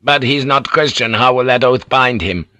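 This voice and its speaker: A man's voice: mid-range voice, moderate pace, sharp tone, no room sound, pitched above the performer's own voice, natural voice. A man voicing a shrewd, commanding Japanese feudal warlord who speaks in an authoritative, probing way in an audiobook. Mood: considering.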